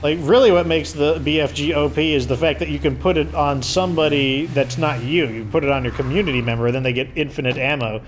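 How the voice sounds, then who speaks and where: Hoarse male gamer, casual rambling commentary, game audio clearly audible behind. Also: fairly high pitch, fast talker, hardly any pauses, slight background noise. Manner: rambling, filler-heavy explaining. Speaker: let's play host.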